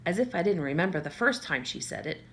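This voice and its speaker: A woman's voice: lowish voice, animated, quick pace, a bit bright. A woman reading a first-person novel aloud in a conversational way, now and then stumbling and correcting herself. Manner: conversational, with small slips and self-corrections. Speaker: audiobook reader.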